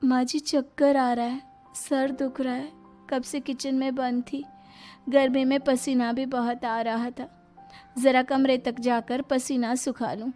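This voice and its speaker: A weary, long-suffering young wife from a cartoon moral story, voiced by a woman. Her plaintive voice is very high and stays level, with music underneath. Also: little pitch movement, measured pace, warm tone.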